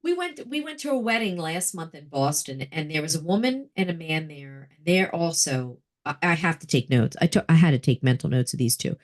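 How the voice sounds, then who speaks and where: A woman's voice: low voice, fairly quick, few pauses, no room sound, studio quality. Chatty middle-aged woman telling stories. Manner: chatty storyteller, animated, laughs often. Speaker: podcast co-host. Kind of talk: casual chat between friends.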